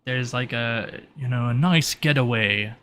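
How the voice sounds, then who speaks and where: A man voicing a polite male shopkeeper in a tabletop roleplay, courteous and measured, with some hesitation. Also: medium pitch, fairly bright tone, slight background noise, lightly acted. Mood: polite, helpful. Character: polite, well-presented male shopkeeper greeting customers.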